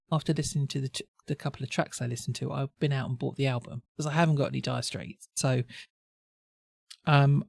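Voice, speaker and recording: Woman, reaction host, congested cold voice, sore throat, gravelly, dry close sound. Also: low voice, darkish tone, dry recording. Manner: rambling, self-correcting, thinking aloud. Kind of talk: casual chatty commentary.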